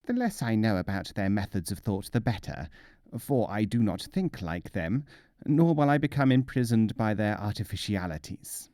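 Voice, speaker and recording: A wry, self-assured trickster mullah from a storybook, acted out by a male narrator. He speaks in a mellow, deliberate, teasing way, with big swings of pitch. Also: sing-song, no echo, clean studio sound. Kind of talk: storybook character voice.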